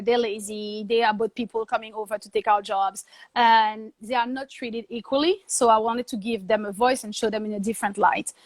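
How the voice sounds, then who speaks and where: A woman's voice: mid-range voice, no room sound. A woman, a TV producer and journalist, telling her story as a guest in a casual interview and acting out her own past thoughts as quotes.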